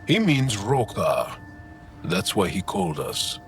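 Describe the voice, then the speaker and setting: Sci-fi game ally: serious alien soldier, lowish voice, wide inflection, wary. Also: male voice, big pitch swings, slow pace, full character voice. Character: male alien resistance fighter, wary seasoned soldier. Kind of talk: video game voice acting. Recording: music behind the voice.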